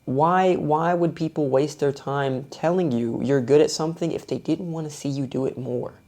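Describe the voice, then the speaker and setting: A man's voice: fairly high pitch, moderate pace, darkish tone. A young man vlogging with a soothing voice, talking through his thoughts in a thoughtful, self-searching way. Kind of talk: reflective personal monologue.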